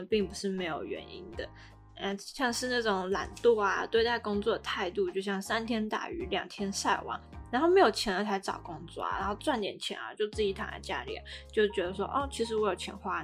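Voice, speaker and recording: A woman's voice: mid-range voice, animated, fairly quick, faint music behind. A woman with a Taiwanese Mandarin accent, co-hosting a podcast and explaining things in a conversational way. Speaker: podcast co-host.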